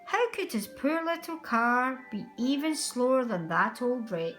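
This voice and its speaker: A woman narrating a children's storybook aloud in an expressive, deliberate way. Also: high voice, faint music behind.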